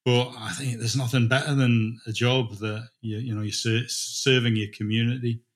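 A man's voice: mid-range voice, flat delivery, normal speed. A middle-aged man speaking as a manager in an informal interview, thinking aloud and repeating words as he goes. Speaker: dispatch manager being interviewed.

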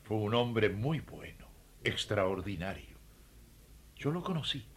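A man's voice: lowish voice, lively intonation, slow, a little hiss or hum. A man playing a humble, devout ancient Judean traveler in a radio play, hesitant, respectful and earnest.

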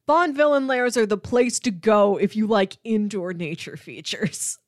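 A woman co-hosting a podcast, chatting casually about film in an animated way and playfully acting out lines. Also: medium pitch, a little slow, no echo, studio quality. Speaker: podcast co-host.

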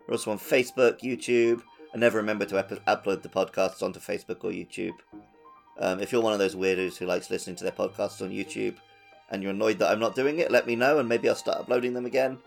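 Casual male podcast co-host, slightly creaky voice. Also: on the low side, steady tone, fast, soft background music. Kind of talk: casual gaming podcast chat.

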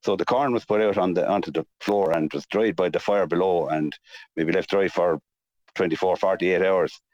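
A man with a deep voice and a south-east Irish accent talks as an interview guest. He explains in halting, measured phrases without a script. The sound is close and dry, if a little dull. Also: steady tone, a little mellow, no echo. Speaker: mill restorer, interview guest.

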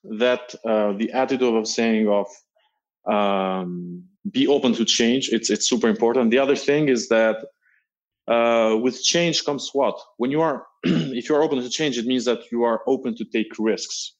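A man's voice: medium pitch, normal speed. A middle-aged man from Albania, answering interview questions earnestly and often repeating words as he searches for them. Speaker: guest.

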